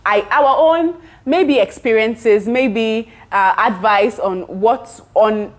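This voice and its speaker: A woman's voice: on the high side, takes it slowly. A young woman hosting a casual podcast, bubbly and passionate, laughing often. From Nigeria. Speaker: podcast host.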